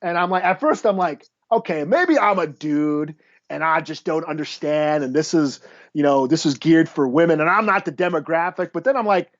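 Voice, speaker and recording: A man's voice: on the high side, normal speed, no echo. A man co-hosting a comic book podcast, giving blunt, profane, slangy opinions in casual chat on a dull lo-fi recording.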